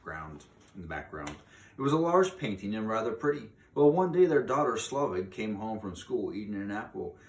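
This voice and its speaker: A man's voice: fairly low pitch, sing-song, moderate pace. Man reading a storybook, echoey room. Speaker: storybook reader. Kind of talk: expressive read-aloud of a children's story. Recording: echoey room, distant mic, faint noise.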